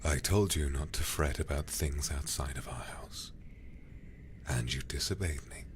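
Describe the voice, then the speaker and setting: A man voicing a possessive, controlling male lover who hushes and coaxes in a soft whisper, tender on the surface and menacing beneath. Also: rumbling low, slight background noise. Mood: hushing, stern. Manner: slow, coaxing, falsely tender. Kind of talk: audio roleplay, whispered.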